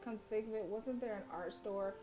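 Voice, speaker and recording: A woman's voice: mid-range voice, brisk, music in the background. A woman streaming a visual novel, reading the story aloud and reacting with brash, profane, slangy commentary. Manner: brash, animated and profane, with slangy trash talk.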